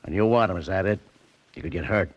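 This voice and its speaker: Man acting low-pitched, curt radio cop. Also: fast talker, highs cut off, close to an everyday voice. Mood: challenging. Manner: curt, challenging. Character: guarded, hard-bitten policeman giving grudging warnings. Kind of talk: 1940s radio crime drama acting. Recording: noisy vintage radio recording.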